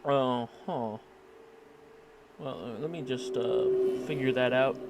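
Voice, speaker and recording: Wry male game streamer in heavy noise. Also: slow. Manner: wry, muttered interjections, swears freely. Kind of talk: casual livestream reaction commentary. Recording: very noisy, constant background rumble.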